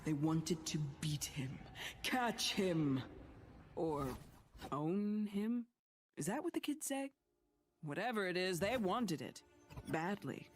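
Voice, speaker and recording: A woman voicing a mysterious, wry storyteller in a fantasy game, narrating a fable in a deliberate, hushed way. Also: fairly low pitch, animated, soft background music.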